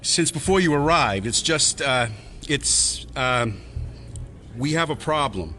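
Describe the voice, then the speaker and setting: Police deputy: evasive, hesitant, animated intonation, mid-pitched audio drama voice. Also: male voice, takes it slowly, a little hiss or hum. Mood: flustered. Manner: hesitant, stammering.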